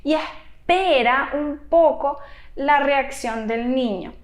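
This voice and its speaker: A woman with a high voice explains things to parents as a therapist would in an advice video. She takes her time and speaks with animated emphasis. The room adds noticeable echo around her voice. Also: slow. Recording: roomy, echoey room sound.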